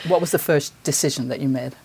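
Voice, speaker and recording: A woman's voice: a bass voice, fast, creaky, a little mellow, husky. A middle-aged woman hosting a podcast, putting questions and comments in a conversational way. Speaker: podcast host, interviewer. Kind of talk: conversational interview.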